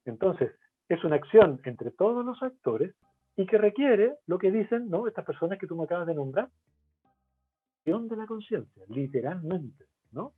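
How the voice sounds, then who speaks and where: A man from Chile with a Chilean Spanish accent, a guest expert in a webinar interview who explains his points in a lively way and poses rhetorical questions. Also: high voice, normal speed, breathy edge. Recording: tinny phone-like line, faint music.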